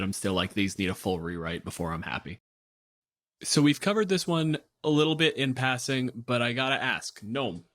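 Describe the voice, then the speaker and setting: A man's voice: fairly low pitch, fairly bright tone, dry recording, studio quality. A man hosting a podcast in a casual, conversational way. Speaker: podcast host. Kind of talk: casual chat.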